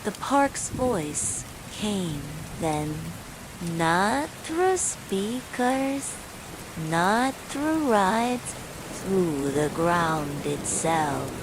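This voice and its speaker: A woman's voice: mid-range voice, extremely slow. A woman reading a scripted horror bedtime story, with falling rain behind her. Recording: steady rain noise throughout.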